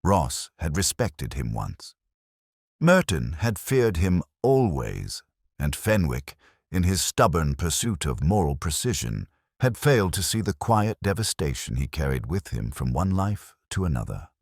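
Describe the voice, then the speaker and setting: A melancholic police inspector played by a man tells his case in a radio mystery. His voice is extremely low, with an expressive rise and fall, recorded close and dry. The delivery is solemn, measured and inward-looking. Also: husky, dry recording, exaggerated voice. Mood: brooding, regretful. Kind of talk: slow literary first-person detective narration.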